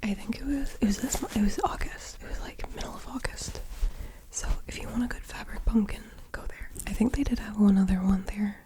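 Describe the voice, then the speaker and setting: A woman rambling in a half-whispered, soft-spoken ASMR style, breathy and unhurried, talking close to a sensitive mic. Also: medium pitch. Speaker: ASMR creator.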